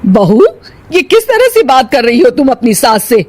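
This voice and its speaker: A woman's voice: a little high, big pitch swings, moderate pace, darkish tone, pitched above the performer's own voice. A woman voicing a stern middle-aged mother-in-law in a cartoon story. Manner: stern, scolding.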